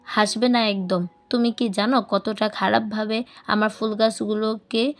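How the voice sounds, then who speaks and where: A woman's voice: medium pitch, flat delivery, unhurried, lightly acted. A woman voicing an indignant, tearful little boy in a story, whiny and demanding. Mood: angry, scolding.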